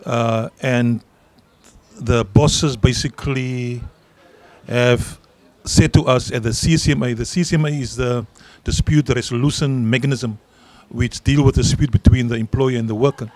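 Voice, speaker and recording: Middle-aged man, Afrikaans accent, union leader, emphatic, medium pitch. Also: fairly even intonation, moderate pace, darkish tone. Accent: South African Afrikaans. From South Africa. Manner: earnest, emphatic, repeats words when stressing a point. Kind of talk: interview answers at a public event. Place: outdoor garden event. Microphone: handheld mic.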